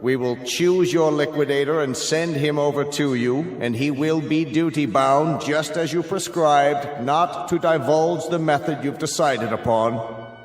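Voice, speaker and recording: An actor playing a cold, smug state chancellor in a radio drama, speaking in a formal, pompous, oratorical way. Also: male voice, a little high, a little slow, natural voice. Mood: coolly official. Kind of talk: dramatic radio play acting. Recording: echoey reverberant hall, music underneath.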